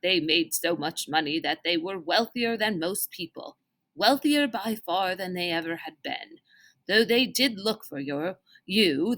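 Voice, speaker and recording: A woman reading as a formal, kindly older gentleman, lively intonation. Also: sits high. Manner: formal, deliberate, old-fashioned phrasing.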